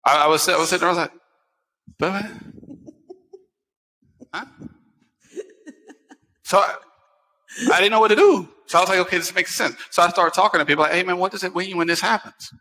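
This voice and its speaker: An American man in his forties hosting a talk show, chatting casually and telling personal stories in an animated way, repeating phrases for emphasis. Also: high voice, a little fast, pauses often. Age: 40s. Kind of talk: casual chat, personal storytelling. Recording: echoey, long room reverb.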